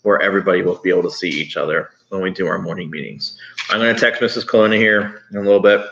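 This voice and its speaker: A low, forward-sounding male voice on a slightly dull recording. He speaks as a grade school teacher, talking pupils through things in a conversational, instructive way. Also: quick pace, creaky, a bit bright, a little hiss or hum. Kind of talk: informal online read-aloud and assignment talk to pupils.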